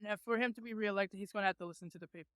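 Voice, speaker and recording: A rally attendee interviewed outdoors, speaking emphatically with halting fillers. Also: medium pitch, steady tone, fairly bright tone, clean tone, no echo, studio quality. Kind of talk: street interview.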